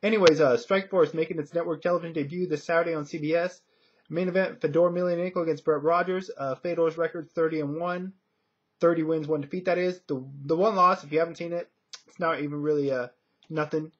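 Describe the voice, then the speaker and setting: A man with a slightly high, rough-edged husky voice gives casual sports commentary. He speaks off the cuff in a halting, conversational way. The audio is dull and lo-fi, like a webcam recording. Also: fairly even intonation. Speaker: fight commentator and video blogger. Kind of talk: casual unscripted fight prediction vlog.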